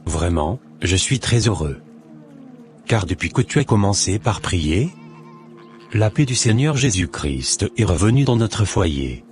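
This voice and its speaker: Deep-voiced, earnest devout husband, acted by a man. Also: big pitch swings, measured pace, faint music behind.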